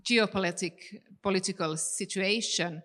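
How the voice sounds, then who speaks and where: A woman's voice: medium pitch, a little slow, fairly bright tone. A middle-aged woman from Finland with a Finland-Swedish accent, a native Swedish speaker answering on a panel in English with many restarts and fillers.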